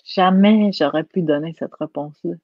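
A woman's voice: fairly low pitch, moderate pace, dry recording, highs cut off. A woman from Quebec with a Québécois accent, speaking earnestly and emphatically as a guest in a conversational interview.

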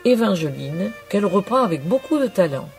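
A narrator reading a scripted portrait in a measured, informative way. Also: medium pitch, very animated, soft background music. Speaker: documentary narrator.

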